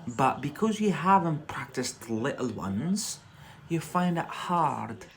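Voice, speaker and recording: An English teacher hosting a casual live lesson, speaking haltingly and repeating words as drills while chatting with viewers. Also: mid-range voice, very animated. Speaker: English teacher, livestream host.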